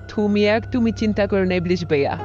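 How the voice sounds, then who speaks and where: A woman's voice: mid-range voice, highs cut off, natural voice. A woman voicing a power-hungry, scheming old woman in a comic cartoon, theatrical and cackling, with a Bangladeshi accent. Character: scheming, power-hungry old woman, ousted ruler turned ghoulish hunter. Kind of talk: exaggerated comic cartoon voice acting. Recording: loud music under the voice.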